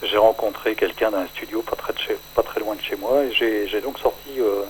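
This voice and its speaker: An older man, a singer-songwriter, talking in a relaxed radio interview, hesitant and full of fillers. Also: fairly low pitch, slight background noise, rough recording. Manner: hesitant, many pauses and fillers.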